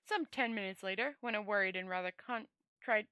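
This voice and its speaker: A woman's voice: medium pitch, moderate pace, sharp tone, dry recording, clean studio sound. A woman storyteller reading a fantasy novel aloud expressively, often stumbling over words and rereading phrases.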